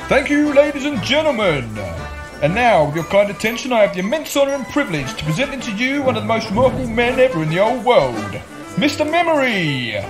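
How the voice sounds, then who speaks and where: A man's voice: very high-pitched, very animated, a little fast. A man playing a ceremonious music-hall master of ceremonies in a homemade radio play, with a showman's delivery. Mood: grand announcing.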